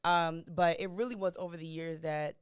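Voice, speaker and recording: A young woman from Miami chats as a podcast guest, animated and quick to laugh. Her voice sits a little low and sounds smooth and clear, recorded close and dry. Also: dry recording. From Miami, USA. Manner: chatty and animated, laughs often, quotes her own thoughts. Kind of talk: casual interview chat.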